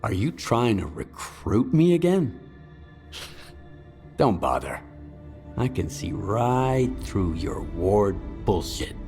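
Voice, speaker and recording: A blunt, cynical survivor in a game cutscene, his voice on the low side. He talks tersely and gravely, wary of whoever he faces, over faint music. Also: big pitch swings, takes it slowly, pauses often, warm tone, exaggerated voice. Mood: dismissive. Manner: terse, grave, matter-of-fact. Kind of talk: dramatic video game cutscene acting.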